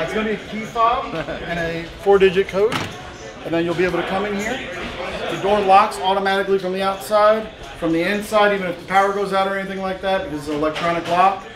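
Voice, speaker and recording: A man gives a matter-of-fact walkthrough of a big indoor poker room, his voice sitting high for a man. Loud player chatter fills the space behind him, picked up by a handheld camera a few feet away. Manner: matter-of-fact, informative, with fillers. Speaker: poker room manager giving a tour. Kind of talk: explaining on a walking tour. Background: crowd chatter.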